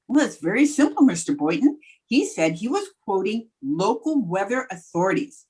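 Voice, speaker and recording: Woman playing a wry, animated schoolteacher, slightly husky. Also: mid-range voice, normal speed. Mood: brisk, commanding. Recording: remote video-call recording.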